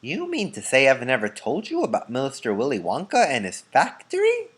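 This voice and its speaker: A man's voice: mid-range voice, huge pitch swings, moderate pace, slightly rough, pitched above the performer's own voice. A man voicing a very old grandfather in a children's storybook, eager and boastful, speaking in emphatic exclamations. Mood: eager, astonished. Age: nineties. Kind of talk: children's storybook read-aloud.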